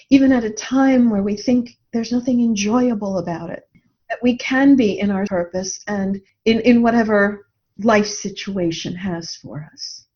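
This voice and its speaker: A woman's voice: a little high, warm tone. A middle-aged woman talking reflectively as an interview guest, earnest and thoughtful, telling anecdotes from experience. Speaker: podcast guest, life coach. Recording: dull lo-fi audio.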